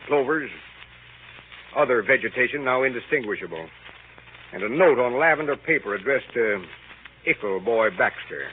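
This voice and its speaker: An actor playing a long-suffering, exasperated middle-aged gentleman with a dry, weary delivery in a 1930s radio comedy. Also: medium pitch, lightly acted. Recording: tinny, noisy 1930s radio broadcast.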